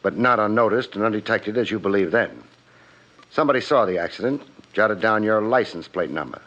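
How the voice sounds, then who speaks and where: An actor playing a tough, wry private detective in a 1950s radio drama, narrating and questioning in a clipped, insinuating way. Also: male voice, fairly low pitch, lively intonation, brisk, fairly bright tone. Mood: probing, accusing. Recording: muffled old radio recording.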